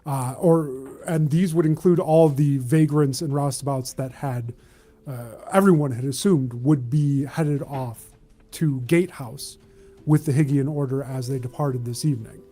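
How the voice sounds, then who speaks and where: A man narrating a tabletop roleplaying game as game master, with a deliberate, halting delivery. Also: mid-range voice, fairly even intonation, dark tone.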